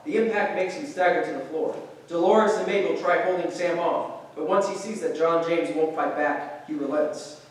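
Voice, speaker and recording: High-pitched man narrating in echoey room. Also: moderate pace. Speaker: stage directions reader. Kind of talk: scripted narration in staged reading. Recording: distant mic in very echoey room.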